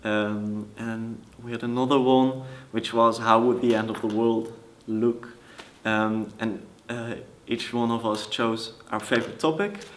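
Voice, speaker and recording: A young man explaining things in an interview, pausing often as he finds his words. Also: mid-range voice, flat delivery, fairly bright tone, slight background noise. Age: young adult. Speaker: youth theatre project participant.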